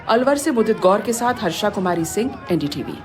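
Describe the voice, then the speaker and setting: A female news reporter reads a scripted report in a medium-pitched voice. Music and crowd sound are clearly audible behind her. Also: lively intonation, fairly quick.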